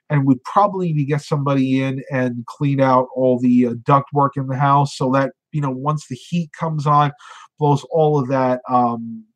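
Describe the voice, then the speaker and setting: A man hosting a casual live stream, chatting and reading viewer comments with lots of fillers, sounding congested as he loses his voice. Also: mid-range voice.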